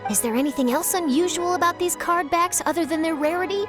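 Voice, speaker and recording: A woman's voice: very high voice, fast talker, music in the background. A woman voicing a keen, polite young female reporter in a video game, articulate and inquisitive. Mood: intrigued. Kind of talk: game dialogue acting.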